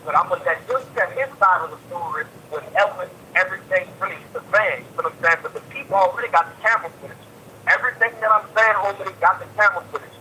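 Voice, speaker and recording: A man's voice: sits high, pushed and bright. A man being interviewed by phone, talking in casual street slang, emphatic and repeating himself. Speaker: jail inmate being interviewed. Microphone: telephone handset. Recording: tinny jail phone call, faint noise.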